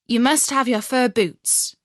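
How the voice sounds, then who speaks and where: Woman as bossy little robber girl, unhurried. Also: fairly high pitch, a bit bright, dry recording, clean studio sound, voice pushed up. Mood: bossy, brisk. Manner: commanding, blunt.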